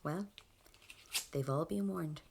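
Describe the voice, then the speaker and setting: A woman's voice: sits low, slow pace, gravelly, a little mellow, slight background noise, heavily acted. An actress with a Dublin Irish accent playing a tense, hardened young rebel woman, narrating a 1920s audio drama in the first person. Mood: grim, resolute.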